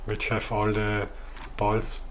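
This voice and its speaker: Slow-talking Austrian man, low and flat. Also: slight background noise. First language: German. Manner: halting, searching for words. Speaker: gamer showing his own game build. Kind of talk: casual game walkthrough commentary.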